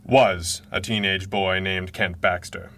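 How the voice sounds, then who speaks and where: A man's voice: sits low, fairly even intonation, slow, a bit bright, full character voice. A man playing a guilt-ridden masked crime fighter in a 1930s-style radio adventure, speaking with formal, earnest, dramatic diction. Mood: grave, reluctant.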